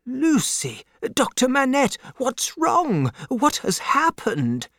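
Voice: high-pitched, huge pitch swings, slow pace, fairly bright tone, dry recording, clean studio sound, pitched above the performer's own voice, full character voice. A narrator playing an anxious elderly English banker with an urgent, dramatic manner. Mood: alarmed.

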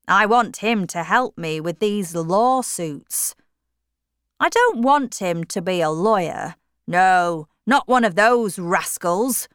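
A woman voicing a stubborn middle-aged miller, speaking louder and more forcefully than her narration. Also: medium pitch, clear, resonant tone, no echo, studio quality. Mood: firm. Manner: forceful, emphatic, raised voice.

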